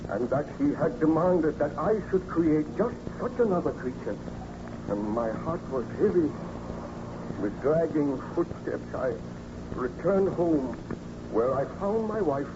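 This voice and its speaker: An actor playing a remorseful baron who created a monster, speaking earnestly and formally in old-time radio drama style. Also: male voice, a little high. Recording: noisy, muffled old radio recording.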